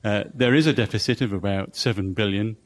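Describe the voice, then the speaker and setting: English man in a debate audience. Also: lowish voice. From England. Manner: measured, cites figures. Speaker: audience member. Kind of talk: public debate comment. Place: large live debate audience hall.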